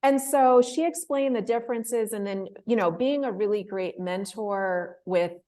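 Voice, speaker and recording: A woman in her thirties, a guest in an interview, telling her story in a casual, animated way, laughing often and acting out the voices of people she quotes. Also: medium pitch, a little slow. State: emotional, close to tears. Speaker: interview guest, fellowship winner.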